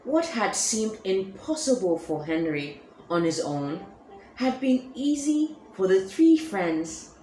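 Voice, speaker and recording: A woman reading a children's picture book aloud in an expressive, animated way. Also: medium pitch, takes it slowly, echoey room, slight background noise, poor audio. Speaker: storybook reader.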